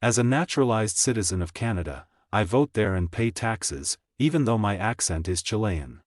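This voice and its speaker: A man reading English practice sentences aloud, slowly and clearly, for learners to repeat. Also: fairly low pitch, no echo, studio quality. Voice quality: plain, even reading of each sentence, repeated. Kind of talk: slow, clear scripted reading for shadowing practice.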